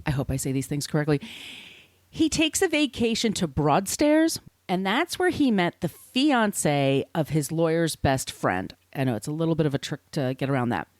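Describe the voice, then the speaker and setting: A woman's voice: low voice, sing-song, moderate pace, a little mellow, no echo. An American woman hosting a podcast, telling a story from notes conversationally, with informal, wry asides. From United States. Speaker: podcast host.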